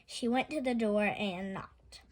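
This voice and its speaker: A woman's voice: mid-range voice, a little slow. A woman narrating a children's storybook expressively as a storytime reader.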